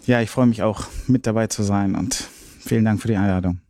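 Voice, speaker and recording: A man introducing himself as a father of daughters on a church panel, slow and hesitant. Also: fairly low pitch, steady tone, dark tone, dry recording, studio quality.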